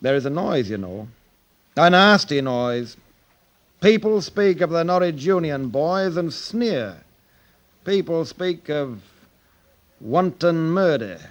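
High-voiced stern fire chief. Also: male voice, lively intonation, slow, long pauses. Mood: troubled, grave. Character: stern, troubled male fire chief questioning his subordinate. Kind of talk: radio drama acting.

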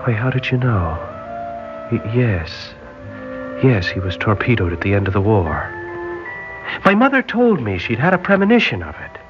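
A man's voice: on the low side, very animated, normal speed, long pauses. An actor playing a polite, hesitant nineteen-year-old seaman from Northern Ireland in an old radio drama. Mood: wistful. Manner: polite, hesitant, formal British phrasing. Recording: dull old radio recording, music behind.

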